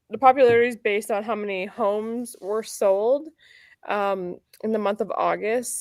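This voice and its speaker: A woman's voice: medium pitch, darkish tone, dry recording, studio quality. A woman hosting a casual real estate chat, upbeat and chatty, correcting herself as she goes. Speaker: realtor and show host. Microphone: computer mic near a keyboard.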